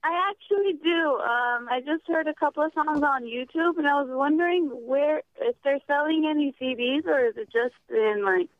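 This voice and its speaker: Lady calling into a show, high voice, casual, lofi phone sound. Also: moderate pace, few pauses, no echo. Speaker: caller to a talk show. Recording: muffled lo-fi phone call.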